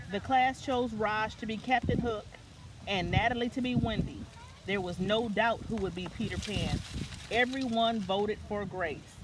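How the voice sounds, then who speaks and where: A woman's voice: on the high side, noisy background. A woman reading a children's picture book aloud as a story time reader, now and then stumbling and correcting herself.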